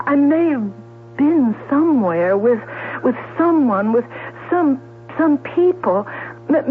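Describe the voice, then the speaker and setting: An actress playing a distraught, self-loathing woman suspect in a 1970s radio drama, halting and repeating her words. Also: a little high, slight background noise. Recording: tinny, humming 1970s radio recording.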